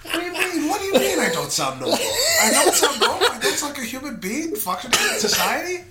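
A man co-hosting a podcast, loud and teasing, breaking into giggles often. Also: very high voice, wildly swooping pitch, fast talker, very noisy. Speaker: podcast co-host. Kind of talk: casual chat.

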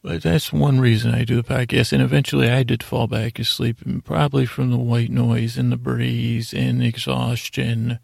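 A man hosting a bedtime podcast in a meandering, halting monologue meant to soothe, often interrupting himself, with a gravelly, husky voice. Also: mid-range voice, flat delivery, darkish tone, dry recording, studio quality. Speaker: podcast host.

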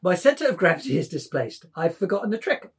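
A man's voice: sits high, very animated, fairly quick, husky, full character voice. A man acting a drunken young poet, rambling, philosophising and defiant, in a dramatic table reading. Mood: rambling, lofty.

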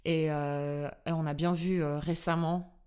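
A woman's voice: low voice, flat delivery, unhurried, a little mellow, clean tone. A woman who is not a native French speaker, co-hosting a podcast in a casual, earnest chat, laughing easily and rephrasing as she goes. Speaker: podcast co-host. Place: studio in a Swiss city.

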